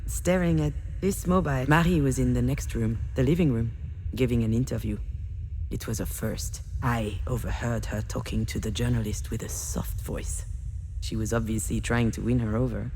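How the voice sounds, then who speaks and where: Low-voiced, grieving mother. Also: steady tone, normal speed, dark tone, a little hiss or hum, rough recording. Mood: somber, reflective. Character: damaged, grieving adult woman, a mother, reflective and tender. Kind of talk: dramatic monologue in a narrative video game.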